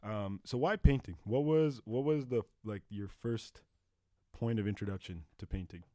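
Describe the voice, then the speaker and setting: A young man hosts a relaxed podcast chat, laughing easily and tossing in a friendly "eh". His voice sits low and the sound is dry and close. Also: normal speed, no echo. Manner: laughs often, loose and joking, says "eh". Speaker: podcast host. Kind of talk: casual interview chat.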